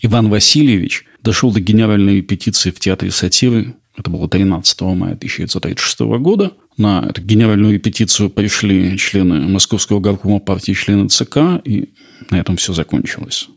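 A man's voice: on the low side, quick pace, gravelly, breathy edge. A man hosting a history podcast, narrating in an explanatory way with rhetorical questions. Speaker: podcast host.

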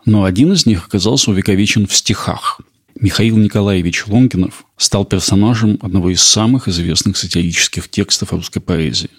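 A male podcast host delivers scripted narration in a measured, explanatory manner. His voice is lowish and creaky, with a husky edge.

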